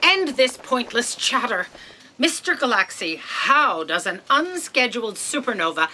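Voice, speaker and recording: A woman's voice: fairly high pitch, sing-song, unhurried, noisy background. A woman playing an imperious, impatient, cutting office boss in a comedic sci-fi audio drama. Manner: bossy, cutting, commanding.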